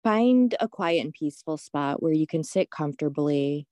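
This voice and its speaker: A woman leading a guided meditation in a soothing, unhurried, gently instructive way. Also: sits low, animated, no room sound.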